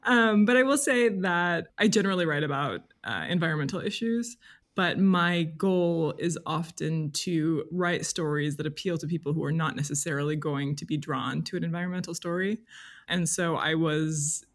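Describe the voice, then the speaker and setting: A woman's voice: deep voice, moderate pace. A woman speaking as an interview guest, a journalist talking candidly and thoughtfully in a casual, slightly hesitant way. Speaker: guest, freelance magazine journalist.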